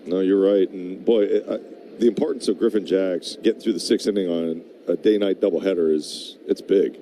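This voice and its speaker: A man's voice: deep voice, steady tone, brisk, background noise. An American man giving chatty, emphatic color commentary on a live baseball broadcast, in an informal conversational way. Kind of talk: conversational live sports analysis and banter.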